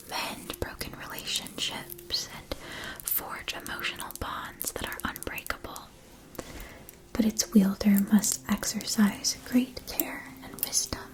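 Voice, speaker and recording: Woman acting as a magic wand seller, ASMR roleplay, whispered, very slow, gentle, solemn, soothing, formal. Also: medium pitch, lightly acted, poor audio. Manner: slow, deliberate, soothing delivery with formal phrasing.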